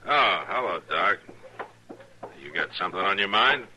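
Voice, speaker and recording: An actor playing a steady, weary frontier marshal, American, terse and firm, in an old radio western. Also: male voice, low voice, moderate pace. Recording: faintly noisy old radio recording.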